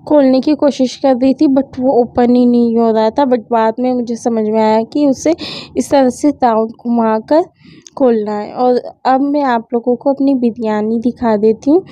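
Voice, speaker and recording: A woman's voice: fairly high pitch, mellow, no echo. A young woman vlogger with a sore throat, chatting casually as she narrates her day and mixes English words into her talk. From India. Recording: dull lo-fi sound.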